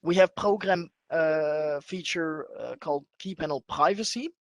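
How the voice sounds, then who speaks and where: A man with a fairly high-pitched voice presents a software demonstration. He goes through it step by step in an instructional, somewhat halting manner. The sound is dry, with very little room in it. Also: dry recording. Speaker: webinar presenter.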